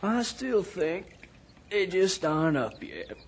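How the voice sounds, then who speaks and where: A man's voice: high-pitched, very animated, slow pace, heavily acted. An actor playing a carefree, dismissive fisherman in a vintage radio drama, with a breezy, folksy manner. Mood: easygoing. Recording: old radio recording, faint noise.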